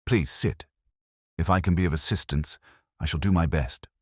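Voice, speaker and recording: A man's voice: deep voice, normal speed, husky, no echo, studio quality. A man voicing a guarded, composed Victorian woman with formal, evasive speech in an audiobook story. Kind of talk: audiobook character dialogue.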